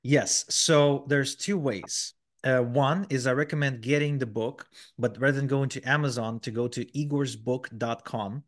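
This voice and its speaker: A man of middling pitch talks through his ideas as a podcast guest. He explains step by step, with plenty of fillers and false starts along the way. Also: fairly even intonation, a little slow, hardly any pauses. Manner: explanatory, many fillers and restarts. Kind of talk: conversational interview answers.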